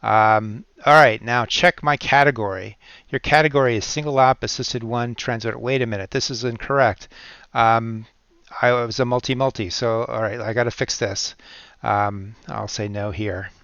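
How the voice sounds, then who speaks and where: A man's voice: medium pitch, steady tone, normal speed, creaky, fairly bright tone, clean tone, no room sound. American man narrating, slightly dull dry recording. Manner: thinks aloud while working through steps. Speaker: software tutorial presenter. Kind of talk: step-by-step screen-recording tutorial narration, informal.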